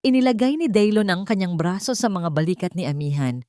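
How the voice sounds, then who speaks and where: A woman narrating a scripted romantic drama with sentimental, deliberate phrasing. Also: mid-range voice, lively intonation, mellow, no echo, clean studio sound.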